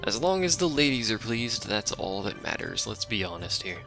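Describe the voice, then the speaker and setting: A man with a deep, gravelly voice and a bright edge, chatting loosely over a game he is playing. He rambles and shrugs things off, with self-mocking asides to his stream chat. Faint game music sits underneath. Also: big pitch swings, moderate pace. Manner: rambling, offhand, self-deprecating; chats with a live stream chat. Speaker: let's play streamer. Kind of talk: casual gameplay commentary.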